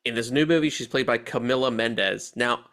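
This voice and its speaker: A male podcast host with a medium-pitched voice in casual conversation. He gives his opinions in an animated way, with plenty of slang and loose swearing. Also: a little fast. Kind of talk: casual chat, film discussion.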